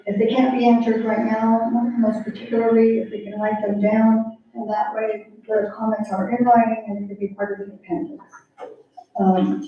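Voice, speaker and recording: A woman's voice: mid-range voice, a little hiss or hum. Hesitant woman presenting in an echoey chamber. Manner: hesitant, many fillers and restarts. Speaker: planning consultant presenting to a city commission. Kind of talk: public meeting presentation. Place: council chamber. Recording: echoey chamber, distant mic, dull sound.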